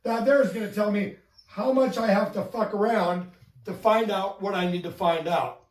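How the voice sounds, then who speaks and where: Casting: deadpan male instructor, notably high voice, methodical and profane, heard from off-mic in a roomy space. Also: moderate pace. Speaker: presenter of a mock lesson. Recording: roomy, distant-mic video playback.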